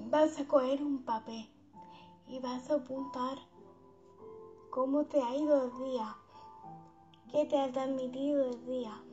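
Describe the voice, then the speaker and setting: A woman hosting a guided meditation for sleep, speaking in a soothing, unhurried, affectionate manner. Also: sits high, darkish tone. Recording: dull lo-fi sound under background music.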